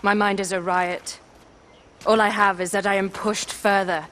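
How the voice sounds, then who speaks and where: A woman's voice: medium pitch, level tone, a little slow, a bit bright, natural voice. An actress playing a proud, commanding young Egyptian queen in a cinematic game. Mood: agitated, defiant. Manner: commanding, regal.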